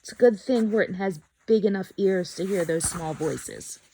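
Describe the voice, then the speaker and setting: A woman's voice: mid-range voice, normal speed, warm tone, a little hiss or hum. A woman reading a children's picture book aloud expressively and chatting to young listeners about it. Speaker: storyteller.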